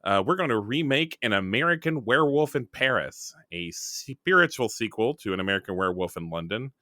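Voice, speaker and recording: Animated, bright-voiced man hosting a podcast. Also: mid-range voice, a little fast, dry recording, studio quality. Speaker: podcast host. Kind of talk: casual scripted-game podcast introduction and chat.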